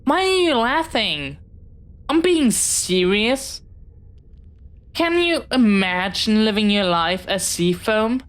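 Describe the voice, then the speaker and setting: A woman acting in a scripted audio roleplay as a bookish, dreamy young pirate, jumpy but earnest, speaking haltingly and dramatically straight to the listener. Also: fairly high pitch, lively intonation. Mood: wary, awed. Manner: dramatic, halting, swinging from theatrical threats to sheepish chatter.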